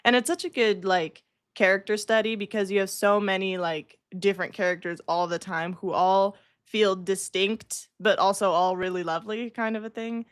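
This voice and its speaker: A woman's voice: mid-range voice, steady tone, normal speed, few pauses, smooth, dry recording, studio quality. A woman writer chatting casually on a livestream, talkative and self-deprecating, with a giggly way of speaking. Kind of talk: casual chat.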